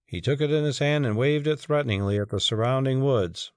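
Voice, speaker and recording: A man's voice: mid-range voice, fairly quick, clean tone, dry recording, studio quality. A man narrating a story as a scripted, dramatic audiobook reading.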